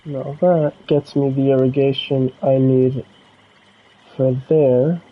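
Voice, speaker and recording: A man's voice: mid-range voice, slow, phone-quality sound. An American man casually talking through his game build as a streamer, muttering to himself and chatting with viewers. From United States. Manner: relaxed, talks to himself while building, chats with viewers. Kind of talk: casual gameplay commentary.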